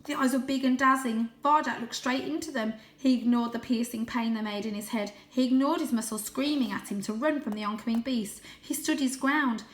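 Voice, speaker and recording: A woman reading a children's story aloud in an expressive, suspenseful, animated way. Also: a little high, a little fast, few pauses, roomy sound. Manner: animated, suspenseful, with gasped asides. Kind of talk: dramatic, expressive audiobook-style story narration.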